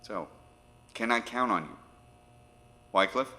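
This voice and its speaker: Lead investigator played by a man, horror audio drama. Urgent, authoritative, sharp-edged voice with faint noise behind. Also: fairly low pitch, animated, slow, exaggerated voice. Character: urgent, commanding male investigator in charge of a case.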